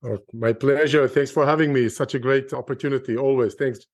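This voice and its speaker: A man's voice: medium pitch, steady tone, fairly quick, no echo, clean studio sound. A middle-aged man from Israel with an Israeli accent, talking earnestly as a guest on an interview podcast and often restarting his sentences. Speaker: guest, real estate investor.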